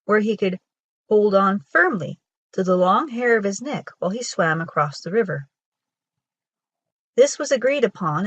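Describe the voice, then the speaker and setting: Lady reading a kids storybook tale, lowish husky voice, really dry close sound. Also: no room sound. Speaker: storyteller reading a folktale aloud. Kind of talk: scripted storybook narration for children.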